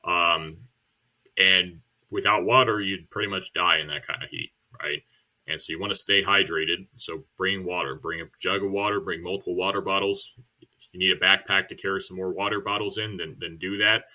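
Young man, deep voice, bright tone, relaxed teaching delivery. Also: moderate pace, rough recording. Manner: relaxed, plain-spoken, many fillers. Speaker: church member teaching soul winning. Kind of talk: casual instructional video.

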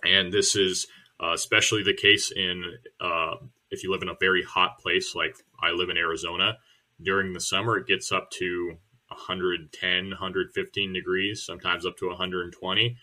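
Young man, deep voice, bright tone, relaxed teaching delivery. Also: moderate pace. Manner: relaxed, plain-spoken, many fillers. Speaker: church member teaching soul winning. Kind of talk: casual instructional video.

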